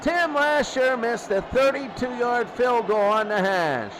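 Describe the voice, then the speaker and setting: A man's voice: very high-pitched, animated, slow, background noise. A man doing play-by-play football commentary in short, clipped calls, often repeating his phrases. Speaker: play-by-play announcer of a football game. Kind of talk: excitable sports play-by-play commentary.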